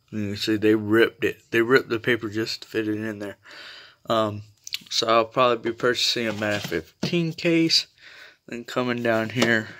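A man chatting casually and haltingly as he films a home vlog. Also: lowish voice, bright, forceful tone. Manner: halting, informal. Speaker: video creator showing a weather radio collection. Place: small room at home, indoors. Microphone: handheld phone or camera held close.

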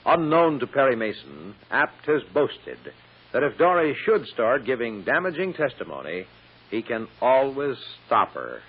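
A man's voice: medium pitch, breathy edge. A man narrating a vintage radio serial as its announcer, in a scripted storytelling style. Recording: dull old radio recording.

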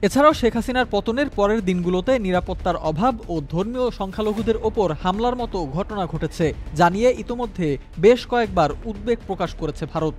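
A man with a Bangladeshi accent narrating a scripted news report in a formal, measured manner. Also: sits high, a little mellow. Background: background music.

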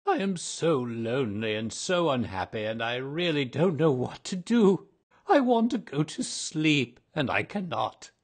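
A sorrowful, tired old ghost who has not slept for centuries, as a male actor plays him. The voice is husky and rather low. He drags each word out slowly. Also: steady tone. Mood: pleading. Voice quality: husky, slow and dreamy. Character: lonely weary old male ghost, melancholy and meek. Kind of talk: audiobook drama reading.

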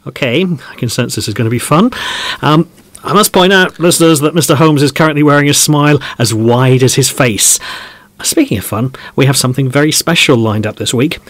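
A man's voice: medium pitch, fairly quick, a bit bright, dry recording, close to an everyday voice. An actor playing a chatty, easily amazed male football podcast host in a scripted audio drama. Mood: upbeat, curious.

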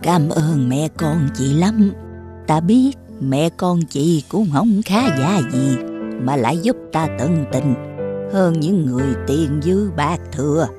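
A woman voicing a weary, grateful old beggar woman with a Southern Vietnamese accent in an animated fairy tale. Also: low voice, slow pace, over music. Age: older.

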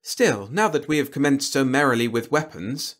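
A man voicing a cool, sly diplomat in an audiobook, speaking in a measured, dryly formal way. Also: medium pitch, pitched above the performer's own voice, natural voice. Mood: dryly courteous. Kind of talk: audiobook character dialogue.

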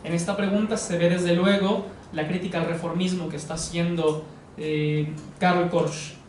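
A young man with a Mexican Spanish accent giving an academic lecture in a measured, explanatory way. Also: high-pitched, little pitch movement, darkish tone, slight background noise. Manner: measured, explanatory, frequent hedging fillers. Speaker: course lecturer. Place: living room with sofas, indoors. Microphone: far camera. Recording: echoey room from far off.

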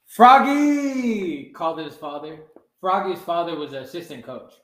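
A man's voice: on the high side, lively intonation, slow, fairly bright tone. A young man in his twenties reading a children's story aloud at a storytime. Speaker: soccer goalkeeper reading a children's book. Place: small room with blinds, indoors. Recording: roomy indoor webcam sound.

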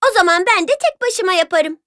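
A woman voicing a friendly but firm, hard-working little red hen in a children's cartoon, with earnest, clear delivery. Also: very high voice, expressive, fairly quick, a bit bright, soft background music. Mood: firm, resolute. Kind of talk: children's cartoon fairy-tale acting.

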